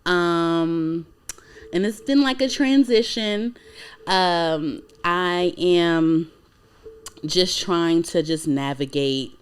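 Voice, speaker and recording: A woman's voice: on the low side, very slow, smooth. Woman, late twenties, podcast host, casual chatty talk, many fillers. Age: 20s. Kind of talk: casual chat.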